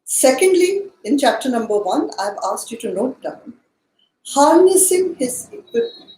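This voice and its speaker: A woman hosting a book study, speaking in a measured way as she sums up points and poses questions. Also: sits high, sing-song, mellow, slight background noise. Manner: measured, summarizing and posing questions. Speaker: study session host. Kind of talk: guided book study discussion. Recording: echoey, roomy sound.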